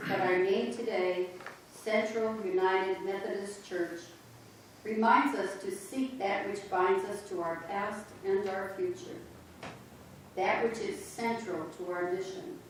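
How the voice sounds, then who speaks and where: Slow, measured woman narrating in a church. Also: medium pitch, a little hiss or hum. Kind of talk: reader's theater narration. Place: church sanctuary. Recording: echoey church sanctuary, distant mic.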